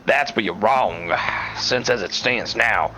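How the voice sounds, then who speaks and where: A man voicing a gruff, chain-smoking sports fan at a bar, curt and smug. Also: on the low side, slow pace, pushed and bright, rough recording. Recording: light background music.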